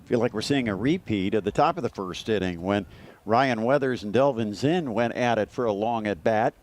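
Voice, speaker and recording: A man's voice: lowish voice, moderate pace. A man giving color commentary on a live baseball broadcast, analyzing the play.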